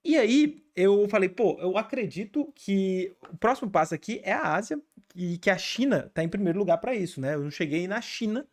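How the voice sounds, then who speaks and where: A young Brazilian man hosting a reaction video, chatting casually and excitably with frequent filler tags. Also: high voice, a little fast. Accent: Brazilian Portuguese.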